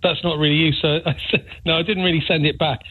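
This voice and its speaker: A man's voice: on the high side, fast, breathy edge. Chatty man on a phone line. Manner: gossipy, animated storytelling with giggles. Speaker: caller. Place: Taunton.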